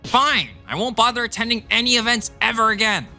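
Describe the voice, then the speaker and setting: A man's voice: extremely high pitch, animated, brisk, pushed and bright, faint music behind, heavily acted. A man voicing an arrogant, callous, easily irritated husband in a manga dub, dismissive and self-important. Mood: indignant. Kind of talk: dramatized manga dub dialogue.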